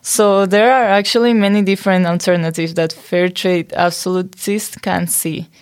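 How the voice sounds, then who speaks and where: Young Spanish-born woman, lowish voice. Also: fairly even intonation, moderate pace. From Spain. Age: young adult. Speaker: podcast co-host. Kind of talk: student podcast, reading lists.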